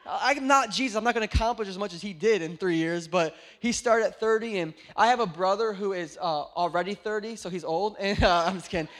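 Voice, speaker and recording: A young man in his late twenties preaches to his church with a high, light voice. He keeps it lively with jokes and playful asides, acting out little stories as he goes. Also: a little fast, few pauses. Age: 20s. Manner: joking, animated storytelling. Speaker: pastor. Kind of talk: sermon.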